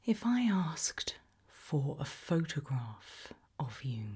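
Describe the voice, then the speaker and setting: A woman acting as an eerie British female researcher in a creepy ASMR roleplay. Her low-pitched, very breathy whisper is slow and deliberate, probing with quiet menace. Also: big pitch swings, exaggerated voice.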